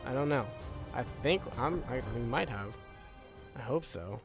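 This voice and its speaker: A man records casual gameplay commentary in a middle-pitched voice. He sounds tired and a little worn, rambling and repeating himself as he thinks aloud. Game music plays faintly behind him. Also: lively intonation, measured pace. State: tired, voice wearing out. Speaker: gaming video creator. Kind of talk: casual let's-play commentary.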